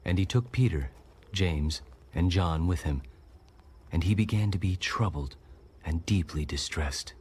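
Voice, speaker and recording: Very deep male scripture narrator. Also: slight background noise. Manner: measured, solemn. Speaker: Bible narrator. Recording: soft music behind the voice. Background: faint music.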